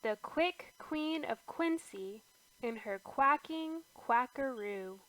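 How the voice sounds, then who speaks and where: A woman reading an alphabet book aloud to small children in a sing-song way, lifting her voice on each big letter and trailing off softly on the repeats. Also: high-pitched, expressive, slow pace, dry recording, rough recording. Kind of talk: slow read-aloud for toddlers.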